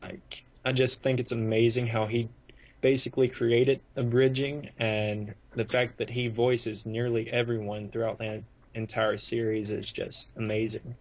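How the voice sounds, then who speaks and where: A man's voice: mid-range voice, level tone, unhurried. Muffled man in casual podcast chat. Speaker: podcast host.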